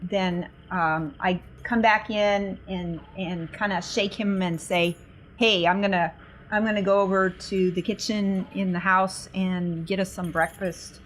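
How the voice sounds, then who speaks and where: Woman at a game table, slow and hesitant, casual roleplay, faint noise behind. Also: mid-range voice, fairly even intonation. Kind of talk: casual in-character role-playing chat.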